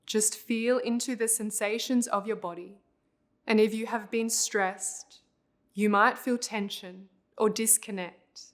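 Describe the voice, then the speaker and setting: A woman leading a guided visualization as a meditation instructor, speaking in a calm, unhurried, instructional way. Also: mid-range voice.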